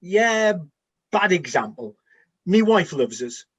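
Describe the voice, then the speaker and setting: Man playing male Trojan sentry, high-pitched, animated, self-important, wistful, bantering, spoof radio drama. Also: takes it slowly, breathy edge, full character voice. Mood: wounded pride. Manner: grumbling, wistful, droll bantering. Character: bored, vain, plain-looking male Trojan soldier on night watch. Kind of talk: comic radio play dialogue.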